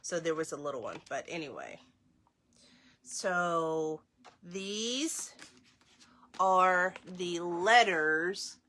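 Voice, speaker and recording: A woman's voice: fairly low pitch, expressive, bright, forceful tone. A woman hosting a story time, reading aloud to children and speaking to her listeners directly. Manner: slow, clearly phrased reading, addresses young listeners directly. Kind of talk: children's read-aloud with casual asides.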